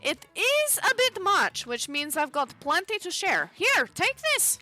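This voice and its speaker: A woman reading the lines of a shy, curious female killer in a dating game, softly asking polite questions. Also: very high voice, big pitch swings, measured pace, soft background music, pitched above the performer's own voice, full character voice.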